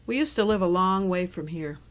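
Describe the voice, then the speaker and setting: A woman's voice: lowish voice, lively intonation, brisk, lightly acted. A woman voicing a reserved new girl at school in a children's storybook, speaking matter-of-factly. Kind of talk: children's storybook read-aloud.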